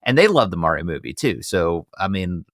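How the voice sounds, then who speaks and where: Male podcast host, thirties, low voice, dry close sound, rambling and emphatic in casual review chat. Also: sing-song, brisk, no room sound.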